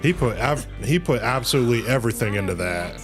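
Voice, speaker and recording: A man's voice: mid-range voice, expressive, quick pace. A man livestreaming a game, reacting casually and reading story text aloud. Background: game music.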